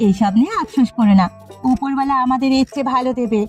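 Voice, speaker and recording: A woman's voice: high-pitched, quick pace, faint music behind, natural voice. A woman voicing a kind, cheerful village wife in a cartoon, who speaks in a reassuring way. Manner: warm-hearted, reassuring. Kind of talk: cartoon voice acting.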